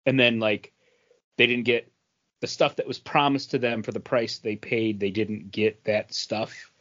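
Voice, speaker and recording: A middle-aged man co-hosting a podcast, chatting casually about pop culture in a rambling, halting way. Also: lowish voice, flat delivery, a bit bright. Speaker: podcast co-host. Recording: dull lo-fi podcast audio.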